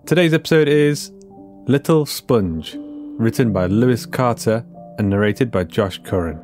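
A man's voice: medium pitch, expressive, measured pace, darkish tone, faint music behind. A man announcing for a horror podcast, reading a scripted sponsor message and episode introduction.

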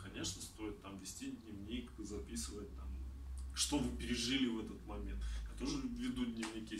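A man in his thirties from Kazakhstan, talking about travel and answering questions in an animated, gesturing way in a small indoor room. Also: mid-range voice. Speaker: traveler giving a talk. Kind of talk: conversational talk answering audience questions. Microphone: far camera. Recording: roomy, distant, faint background noise.

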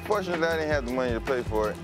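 A man's voice: mid-range voice, expressive, very fast. Man, from nigeria, american accent, football player, earnest chat, music bed. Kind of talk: interview.

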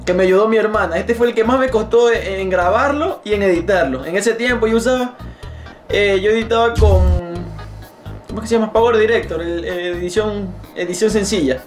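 A man's voice: high voice, brisk. A young man giving casual reaction commentary, jokey and embarrassed about himself. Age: young adult. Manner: self-mocking, embarrassed, jokey. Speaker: video creator reacting to own old videos. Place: small bedroom indoors. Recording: echoey bedroom with faint music. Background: music.

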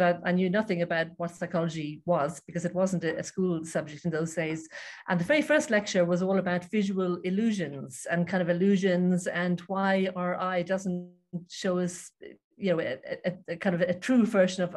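A woman's voice: lowish voice, moderate pace. Chatty Irish woman on a video call. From Ireland. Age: middle-aged. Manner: friendly, chatty, many ums. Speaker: psychology professor, guest. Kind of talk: casual interview answers.

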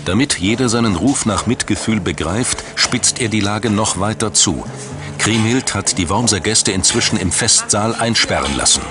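Male documentary narrator, low register, measured dramatic storytelling over prominent music. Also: animated. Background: background music.

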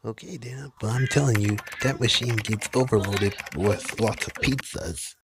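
A woman voicing an excitable, exclaiming male inventor prone to panic in a kids' cartoon. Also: rumbling low, moderate pace, very noisy. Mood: scolding.

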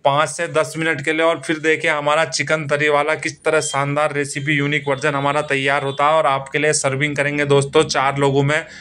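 Bright, clear-voiced man hosting a cooking vlog. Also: on the high side, completely flat delivery, brisk, few pauses. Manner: eager salesy repetition, keeps urging viewers to watch. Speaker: cooking channel host.

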